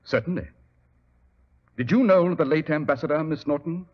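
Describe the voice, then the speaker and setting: An actor playing a shrewd, commanding English gentleman detective with a British accent and clipped delivery in an old radio mystery. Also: a little high, moderate pace, natural voice. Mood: shrewd, probing. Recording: dull, muffled 1940s radio recording.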